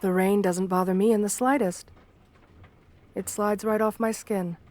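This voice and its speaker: A woman voicing a shy, lonely, romantic gargoyle girl in a gentle ASMR roleplay, speaking tenderly with formal, bookish wording. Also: medium pitch, fairly even intonation, a little slow, natural voice. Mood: reassuring, playful. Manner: tender, soft-spoken, formal old-fashioned wording.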